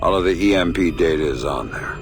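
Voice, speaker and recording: A middle-aged covert operative from a video game, with a rock-bottom, fry-laden voice. He is world-weary and gruff, giving short, dry, commanding lines. Loud music plays behind him. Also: brisk. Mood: dry, businesslike. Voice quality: very deep, gravelly. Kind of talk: video game cutscene acting.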